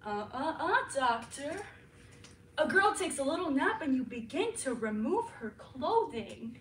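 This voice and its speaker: A woman's voice: very animated, unhurried, a little hiss or hum. A woman playing a purring, seductive flirt. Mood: sultry. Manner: low, teasing, flirtatious. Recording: echoey room, mic far off.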